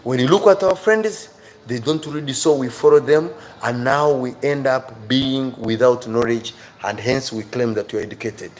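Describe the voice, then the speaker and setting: A man's voice: medium pitch, normal speed. A man with an African accent giving a motivational live lesson to viewers as their teacher, earnest and emphatic, repeating key phrases.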